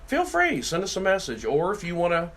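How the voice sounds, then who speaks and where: A man's voice: sits high, wildly swooping pitch, fairly quick. A middle-aged man co-hosting a casual talk podcast, telling rambling folksy stories in a big voice that carries. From Virginia, USA. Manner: folksy, rambling storyteller, loud voice. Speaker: podcast co-host, city councilman. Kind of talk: casual chat.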